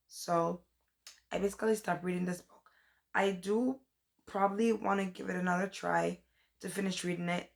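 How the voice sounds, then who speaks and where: Young woman, hoarse from a cold, gravelly low-ish voice, rambling casual book vlogger. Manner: chatty, rambling, says "like" and "um" often. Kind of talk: casual book review vlog.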